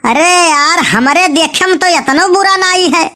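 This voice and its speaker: A woman's voice: extremely high pitch, measured pace, pushed and bright, full character voice. A woman voicing a cheeky, stubborn cartoon matchmaker who coaxes insistently in a colloquial Awadhi village accent. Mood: coaxing, insistent. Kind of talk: comic cartoon dialogue in village dialect.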